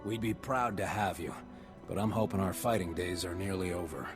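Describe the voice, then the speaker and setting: A man playing a proud, weary army captain in a video game, formal and steady. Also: low-pitched, moderate pace, music in the background, natural voice. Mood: proud, solemn. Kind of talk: video game cutscene acting.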